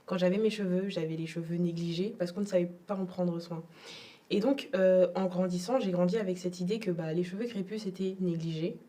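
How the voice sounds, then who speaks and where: A woman's voice: fairly low pitch, a little fast, warm tone, recorded from a distance. A young adult woman from Togo, telling her personal story as an interview guest in a reflective, hesitant way. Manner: reflective, repeats words, frequent hesitations.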